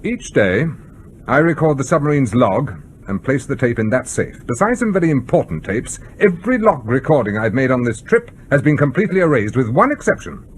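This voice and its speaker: A man's voice: medium pitch, sing-song, fairly quick, close to an everyday voice. A man acts out a menacing submarine commander in a radio play. His voice swings expressively while staying clipped and coldly polite, with faint noise behind it. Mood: cold menace. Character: stern, menacing male nuclear submarine commander interrogating a crewman. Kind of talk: broadcast radio drama acting.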